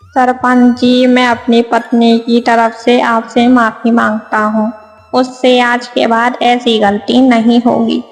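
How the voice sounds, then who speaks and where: A woman's voice: a little high, completely flat delivery, slow pace. A woman playing a humble, honest, apologetic village husband in a Hindi moral-story cartoon. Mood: pleading. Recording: long reverb, music behind.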